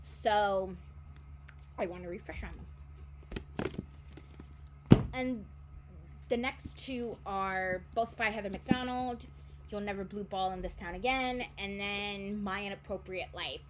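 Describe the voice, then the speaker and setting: A woman's voice: mid-range voice, slow pace, long pauses, noisy background. Rambling young woman chatting about books. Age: 20s. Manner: rambling, self-correcting. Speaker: book vlogger. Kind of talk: casual chat.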